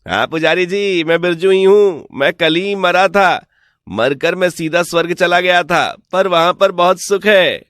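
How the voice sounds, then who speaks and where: Sly village con man acted by a man, slightly high voice, dry close sound, persuasive, mock-polite, cartoon folk tale. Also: a little slow, fairly bright tone, no room sound. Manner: persuasive, boastful, mock-respectful. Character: sly smooth-talking male village swindler posing as returned from heaven. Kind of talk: animated Hindi folk tale voice acting.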